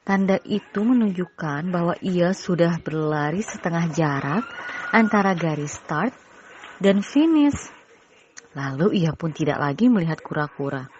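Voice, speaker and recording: A woman's voice: fairly low pitch, expressive, unhurried, a little mellow, lo-fi sound, a little hiss or hum. A woman narrating a scripted children's story as a storyteller.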